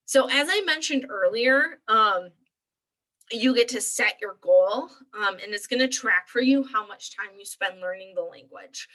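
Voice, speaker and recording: A woman's voice: medium pitch, sharp tone, poor audio. A young woman librarian walking viewers through an online resource in a chatty, upbeat way with lots of fillers. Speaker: librarian presenting a tutorial. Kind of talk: screen-share walkthrough.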